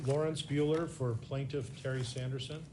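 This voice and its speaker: A man questioning a witness as a lawyer in a formal deposition, halting and polite. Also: medium pitch, fairly even intonation. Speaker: plaintiff's lawyer. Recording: faint background noise, video call.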